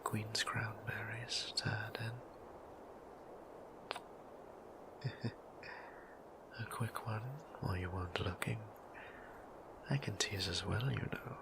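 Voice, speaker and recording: A shy, tender male vampire priest acted by a man murmurs in an intimate romantic audio roleplay. His gentle whisper has a creaky edge. He is hesitant and extremely slow, halting between phrases. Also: on the low side, fairly even intonation, poor audio. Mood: playful, tender. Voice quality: breathy whisper with creaky edges. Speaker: voice actor.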